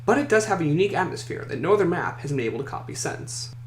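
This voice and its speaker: A man's voice: sits high, sing-song, quick pace. A man hosting a countdown list video, reading scripted commentary and opinion. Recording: echoey room, faint noise.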